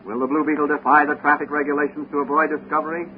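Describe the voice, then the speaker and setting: A man's voice: on the high side, little pitch movement, very fast, slight background noise, lightly acted. A man playing a dramatic, suspenseful announcer narrating a 1940s radio serial. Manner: dramatic, declamatory. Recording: tinny 1940s radio recording with music.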